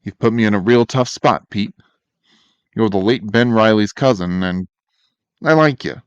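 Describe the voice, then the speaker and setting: A man's voice: fairly low pitch, normal speed, clean tone, dry recording, dull audio, lightly acted. A man playing a tough streetwise gangster. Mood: gruff. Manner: tough street slang, blunt. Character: streetwise male gangster, torn but decent. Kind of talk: fan comic dub.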